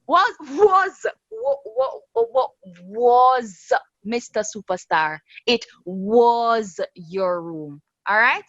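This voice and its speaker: A woman's voice: a little high, big pitch swings, extremely slow, a bit bright, no room sound, highs cut off, full character voice. A woman playing a bitter, angry grown daughter in a radio drama, mocking and confrontational. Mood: furious.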